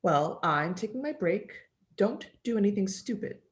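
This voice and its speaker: A woman's voice: medium pitch, lively intonation, moderate pace, natural voice. A woman playing a wry, teasing childcare coworker with a dry manner in a dramatic script reading. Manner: dry, sardonic.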